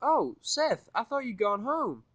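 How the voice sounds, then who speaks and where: A man's voice: very high voice, sing-song, a little slow, fairly bright tone, no echo, highs cut off, voice pushed up, full character voice. A man voicing a grown female farm pony with a friendly, inviting manner.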